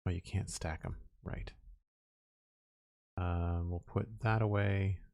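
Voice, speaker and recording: A man's voice: deep voice, steady tone, slow pace, creaky, a little mellow, dry recording. A young man from Nova Scotia with a Canadian Maritime accent, chatting casually to his audience while playing, rambling and counting aloud. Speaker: streamer. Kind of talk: casual gameplay livestream chat.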